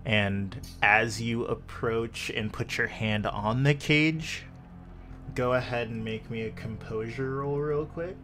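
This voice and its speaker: A man narrating a tabletop horror roleplay as game master, with deliberate, descriptive storytelling. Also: mid-range voice, sharp tone. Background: clear background music.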